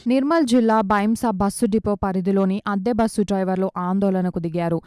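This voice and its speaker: A woman's voice: medium pitch, fairly even intonation, fairly quick, darkish tone, smooth, no room sound, studio quality, soft background music. A woman reading scripted news as a news reader.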